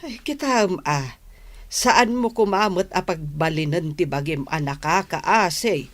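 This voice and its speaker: A woman's voice: lowish voice, lively intonation, unhurried. A woman with a Filipino accent playing a worried, disapproving middle-aged mother, lamenting and scolding in a radio drama.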